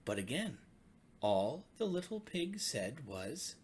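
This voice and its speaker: A woman reading a children's bedtime story with expressive, dramatic, deliberate phrasing. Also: a bass voice, a little hiss or hum. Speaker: storybook reader.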